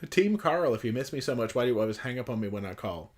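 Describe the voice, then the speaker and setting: A man's voice: medium pitch, expressive, fast talker. A man co-hosting a casual livestream, reading out viewer comments and joking around. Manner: jokey, teasing. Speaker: livestream co-host.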